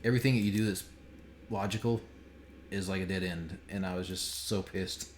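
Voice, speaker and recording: A man's voice: low-pitched, fairly even intonation, a little fast, slight background noise. A man giving casual, sweary, sardonic game commentary on a home stream. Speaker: game developer streaming a playthrough.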